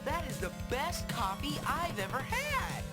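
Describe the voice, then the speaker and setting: Cocky boyish game hero, high voice, very animated, slangy, excitable, cartoonish. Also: female voice, unhurried, over loud music, heavily acted. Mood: frantic. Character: cocky, goofy adventurer with boyish slangy bravado. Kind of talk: cartoonish 1990s game voice acting.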